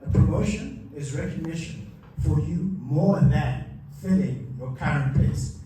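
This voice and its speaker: A man's voice: on the high side, measured pace, warm tone, recorded from a distance, a little hiss or hum. A man giving a live motivational masterclass, emphatic and repeating his key lines. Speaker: motivational speaker. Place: large hall with a live audience. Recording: echoey hall mic heard from afar.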